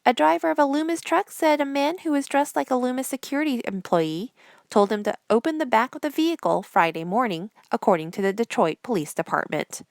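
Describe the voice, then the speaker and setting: A woman presenter reading scripted news headlines in a measured, formal newsreader delivery. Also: fairly high pitch, gravelly, no echo, studio quality.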